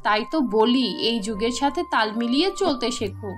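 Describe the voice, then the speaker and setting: A woman's voice: fairly high pitch, animated, normal speed, faint music behind, close to an everyday voice. A woman voicing a cynical, outspoken younger sister with a frank, chiding manner for a children's cartoon. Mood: exasperated.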